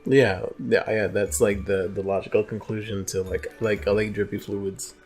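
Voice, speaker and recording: A young man in casual co-host chat, rambling and halting, leaning heavily on filler words. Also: lowish voice, fairly even intonation, a little mellow. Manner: halting, rambling, heavy use of "like". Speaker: co-host commentator. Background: music in the background.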